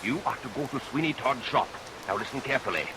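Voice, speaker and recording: An actor playing a commanding schemer who gives instructions emphatically in vintage radio melodrama style. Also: male voice, mid-range voice, animated, quick pace, natural voice. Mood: instructing. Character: commanding, scheming man leading a trap for a killer. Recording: old radio recording, hiss and rain.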